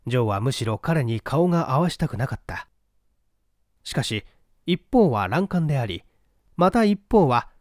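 Male audiobook narrator, dramatic literary mystery reading, expressive pitch movement, dry sound. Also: dry recording.

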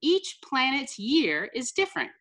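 A middle-aged American woman, a teacher reading a picture book aloud to children with careful, deliberate enunciation over a video call. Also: high voice, big pitch swings, fairly bright tone. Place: small room indoors, on a video call.

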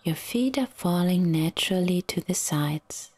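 A woman guiding a relaxation meditation in a soft, soothing, half-whispered voice with long pauses. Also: on the low side, animated, husky, soft background music. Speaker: yoga nidra guide.

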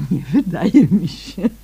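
A woman's voice: lowish voice, mellow, husky, exaggerated voice. An actress playing a chatty, flustered female neighbour who repeats herself in a radio play. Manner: hesitant, repeats words, gossipy.

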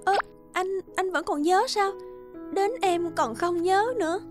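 A young cartoon wife who sounds worn down and fed up. Her voice is very high and expressive, with soft music underneath. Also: unhurried. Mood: bashful. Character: weary, fed-up young wife and caring friend. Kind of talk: animated cartoon dialogue. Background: background music.

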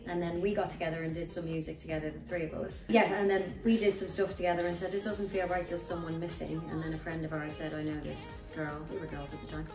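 A woman's voice: low-pitched, little pitch movement, fast, few pauses. A woman, a band member chatting on a sofa and telling stories with acted-out quotes. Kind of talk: casual storytelling chat. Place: living room, on a sofa. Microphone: far camera. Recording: roomy, distant, with music behind.

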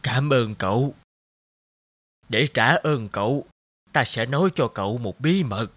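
A man's voice: mid-range voice, expressive, unhurried, fairly bright tone, breathy edge, no echo. A man voicing a poor, kindly, mysterious old woman in a storybook tale. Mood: kindly, pleading. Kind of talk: storybook narration.

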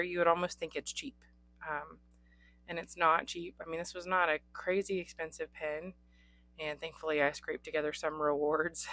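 A young adult woman with a hoarse voice, hosting a casual pen unboxing with frequent ums. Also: low-pitched, fairly bright tone, no echo. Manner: chatty, frequent ums, occasional coughs. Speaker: pen reviewer. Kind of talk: unboxing chat. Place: indoors at a desk. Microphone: overhead camera on desk, hands only.

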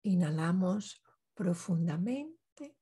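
A woman leading a guided meditation, giving calm instructions in short phrases with pauses between them. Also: lowish voice, slightly rough.

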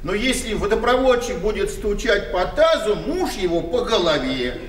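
An actor in a radio play voicing a kindly, awkward old countryman, halting and plain-spoken. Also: sits high, very animated, a crowd in the background. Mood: awkward, consoling. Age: older. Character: awkward, kindly old village widower, reluctant suitor. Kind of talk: 1990 Russian radio play acting. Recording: roomy, distant radio recording with faint hiss.

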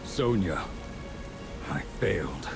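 A man's voice: low-pitched, animated, slow, over music, full character voice. A man voicing a blunt, hot-headed gang brawler in a game. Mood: gruff. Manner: blunt, gruff.

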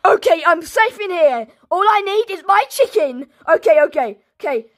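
A young woman giving excitable running commentary on her gameplay, repeating herself and shouting, her throat sore and coughing. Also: extremely high pitch, normal speed. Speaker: gaming channel host. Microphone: phone.

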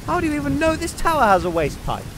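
A man's voice: extremely high pitch, huge pitch swings, quick pace, background noise, exaggerated voice. A man playing a prim, self-important, suspicious adventurer in a comic fantasy audio drama, wordy and exasperated. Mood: reluctant. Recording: rain and thunder sound effects behind.

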